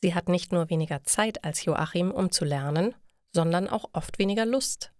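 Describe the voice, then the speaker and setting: Female narrator for learner stories: lowish voice, measured scripted reading, very dry recording. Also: a little mellow, no echo.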